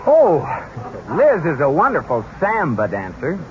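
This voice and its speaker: An actor playing a breezy, eager husband in a vintage radio comedy. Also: male voice, on the high side, wildly swooping pitch, unhurried, narrow, tinny audio. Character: breezy husband eagerly volunteering his wife. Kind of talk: 1940s radio comedy acting. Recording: thin, faintly noisy old radio recording.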